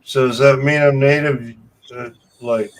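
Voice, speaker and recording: A man's voice: medium pitch, fairly even intonation, a little slow. An American man in casual late-night chat on a video call, swearing freely and laughing often in a loose, joking way. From United States. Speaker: guest on a live video call.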